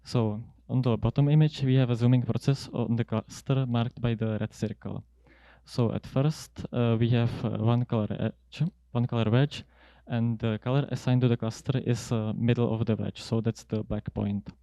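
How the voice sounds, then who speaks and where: Young male researcher, soft-edged dark tone, dry close sound, halting and measured academic presentation. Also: mid-range voice, fairly even intonation, no echo. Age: young adult. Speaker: student researcher presenting a paper. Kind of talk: prepared academic conference talk. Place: indoor seminar room at a lectern. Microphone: handheld microphone close to mouth.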